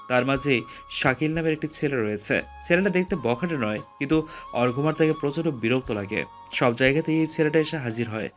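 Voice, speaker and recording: A man reading scripted story narration as the narrator. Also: medium pitch.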